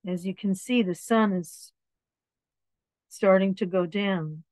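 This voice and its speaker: A woman reading children's picture books aloud in an expressive way, adding asides about the pictures. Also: medium pitch, slow pace, no room sound. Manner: expressive, acts out words and dialogue.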